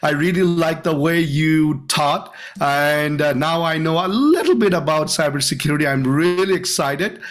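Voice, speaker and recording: A man's voice: fairly high pitch, normal speed, few pauses. A middle-aged man from Canada introducing himself informally and enthusiastically to classmates on a group video call. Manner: enthusiastic, chatty. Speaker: course student.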